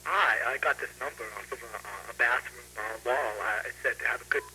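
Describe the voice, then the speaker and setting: Very sharp-sounding male prank caller. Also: medium pitch, moderate pace. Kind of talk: short phone call to a live show. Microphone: telephone. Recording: tinny, noisy phone line with hum.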